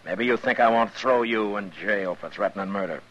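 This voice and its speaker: A deep male voice with a husky edge, an actor's turn as a stern frontier marshal. He talks plainly and with firm authority in vintage radio western style, on a muffled old broadcast recording. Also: moderate pace, natural voice. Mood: stern, angry. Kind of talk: 1950s radio western acting.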